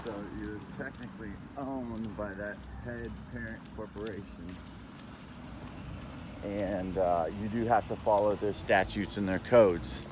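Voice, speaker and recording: Slow, low-voiced man explaining things haltingly. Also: fairly even intonation, background noise. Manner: halting, explanatory, checks with "okay?". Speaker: vlogger. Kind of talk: rambling casual monologue to camera.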